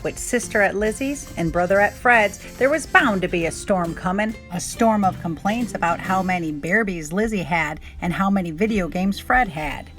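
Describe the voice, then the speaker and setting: Female storybook narrator, expressive read-aloud for young children, music underneath. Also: medium pitch, normal speed, hardly any pauses.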